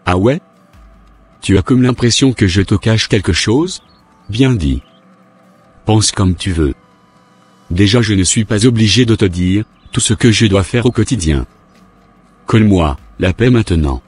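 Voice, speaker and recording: A curt, secretive husband who brushes off questions with irritation. His voice sits low and husky, with faint music behind him. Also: measured pace, pauses often, a little mellow. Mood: irritated. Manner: brusque, dismissive.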